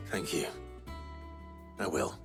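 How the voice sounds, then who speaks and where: A man playing a brooding, earnest fantasy hero in a video game, with a gruff, husky voice and an understated delivery. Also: extremely low pitch, takes it slowly. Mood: quiet, dry. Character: brooding young male hero, earnest and restrained. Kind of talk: dramatic video game acting. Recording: music behind the voice.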